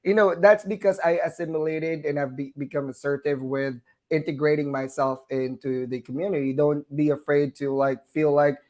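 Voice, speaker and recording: A man's voice: on the high side, steady tone, moderate pace, few pauses, a little mellow. A nurse from the Philippines with a Southern US twang, a man talking chattily as an interview guest, with frequent fillers and laughs between phrases. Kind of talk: conversational interview.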